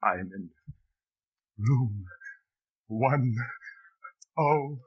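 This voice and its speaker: An actor playing a desperate, anguished prisoner under torture in an old radio drama, halting and strained in delivery. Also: male voice, mid-range voice, breathy edge. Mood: bleak dread. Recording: muffled vintage radio recording.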